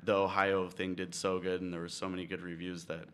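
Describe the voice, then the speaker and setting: A man's voice: low voice, flat delivery, fairly quick, a bit bright, clean tone. A man, a guest on a radio show, talking in a loose, laid-back way. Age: 30s. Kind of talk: casual on-air interview.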